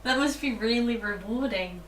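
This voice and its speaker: A woman's voice: on the high side, normal speed. A woman interviewer asking casual questions, giggling often and encouraging her guest. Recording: distant, roomy mic with faint noise.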